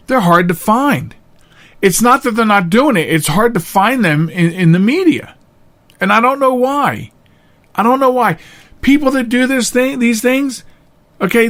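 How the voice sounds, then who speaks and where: A man's voice: high-pitched, fairly bright tone. A man hosting a solo talk show, speaking directly to listeners in an earnest, emphatic way and repeating phrases to drive them home. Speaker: podcast host.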